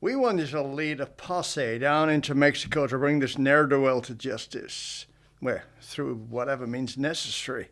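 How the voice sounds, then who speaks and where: A man's voice: mid-range voice, normal speed, fairly bright tone, natural voice. Man acting a sly, smug, scheming Texas Ranger. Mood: grandiose, ominous. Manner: smug, falsely genial, menacing. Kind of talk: western radio drama acting.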